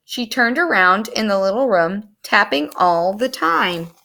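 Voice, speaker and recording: A woman reading a story aloud to young children in an animated, expressive storytelling manner. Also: mid-range voice, a little slow, creaky. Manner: animated, explains words to young listeners.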